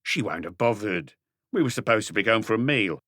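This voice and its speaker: A man's voice: lowish voice, lively intonation, a little fast, fairly bright tone, no echo, studio quality. A man playing a shifty, defensive small-time crook. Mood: evasive, indignant.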